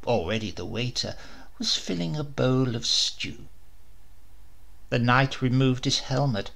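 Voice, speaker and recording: A man narrating a comic storybook in a playful, unhurried way. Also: mid-range voice, vocal fry, a bit bright. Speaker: storybook reader.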